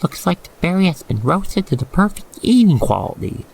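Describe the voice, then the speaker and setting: A man guiding the listener through an ASMR roleplay in expressive, theatrical, wordy storytelling narration. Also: sits high, normal speed, gravelly, breathy edge, low-quality recording.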